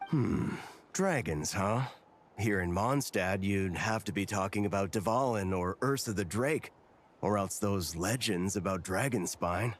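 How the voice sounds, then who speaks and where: An actor playing a thoughtful, knowledgeable man in a video game, talking in a measured, musing way. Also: on the low side, a little hiss or hum, natural voice. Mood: pondering. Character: thoughtful, knowledgeable man pondering local legends.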